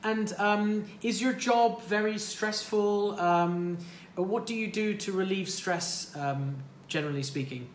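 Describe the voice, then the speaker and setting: A man's voice: sits high, takes it slowly. A man hosting a casual call-in chat, upbeat and encouraging, often repeating his phrases. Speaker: host. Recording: echoey room, faint noise.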